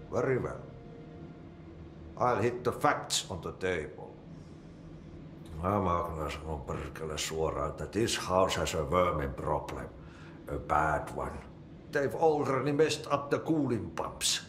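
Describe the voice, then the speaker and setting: An older Finnish janitor speaks with a thick Finnish accent and a very lively, swooping melody. He is unhurried, cryptic and folksy, like an odd game character. The room rings around him. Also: on the low side, echoey room, a little hiss or hum. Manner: folksy idioms, muttered Finnish curses. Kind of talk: video game cutscene acting.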